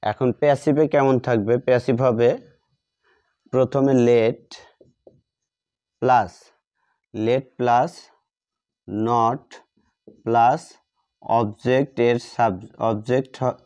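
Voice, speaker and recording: A man's voice: medium pitch, fairly even intonation, extremely slow, long pauses, a little mellow, clean tone, muffled recording. A man teaching a grammar lesson step by step, dictating in short, repeated phrases as he writes.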